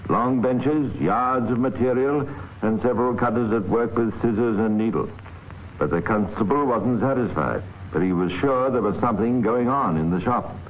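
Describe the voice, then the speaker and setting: A man's voice: medium pitch, natural voice. A man playing an authoritative narrator and guide in an old radio crime drama. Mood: grave storytelling. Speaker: narrator and host. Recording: dull, echoey, noisy old radio recording.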